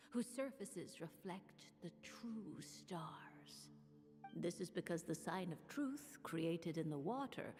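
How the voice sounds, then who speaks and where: Old witch mentor: slow, sly, wistful narration for a game, in long reverb. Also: fairly low pitch. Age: older. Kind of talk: scripted letter narration in a video game. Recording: long echoing reverb, music behind.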